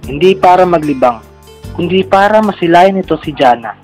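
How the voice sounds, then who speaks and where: A man's voice: sits high, animated, normal speed. A man with a Filipino accent giving scripted storytelling narration for a radio drama. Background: music.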